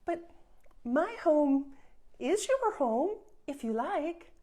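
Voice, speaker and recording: A shy, kind young woman from a storybook, gentle and halting, tripping over her words as she speaks, as a woman reads her part. The voice sits high and the pace stays unhurried. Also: very animated, full character voice. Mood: timid.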